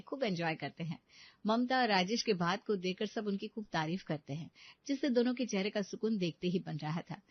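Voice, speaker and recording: A woman's voice: medium pitch, no echo. Woman narrator doing a moral story, very dry close sound, bit lo-fi.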